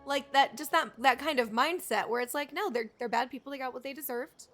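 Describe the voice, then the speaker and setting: Girl streamer w a highish voice, reading game text out loud and chatting casually, game music in back. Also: fairly quick.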